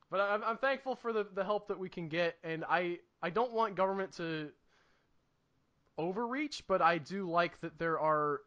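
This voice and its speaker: A man's voice: high-pitched, a little slow, long pauses, bright, forceful tone, highs cut off. A man co-hosting a podcast, giving his opinions in an informal, casual chat. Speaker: podcast co-host.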